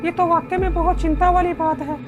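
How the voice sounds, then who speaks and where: Woman playing a high-voiced fussing village mother. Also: level tone, brisk. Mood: concerned. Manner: fussing, protective, chiding. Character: anxious, scolding village mother of a young girl. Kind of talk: Hindi animated horror story dialogue. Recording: music behind the voice.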